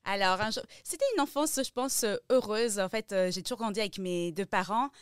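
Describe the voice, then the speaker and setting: French woman guest on a podcast, smooth clear voice, chatting about herself, dry recording. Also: mid-range voice, a little fast, no echo. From France. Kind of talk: conversational personal storytelling.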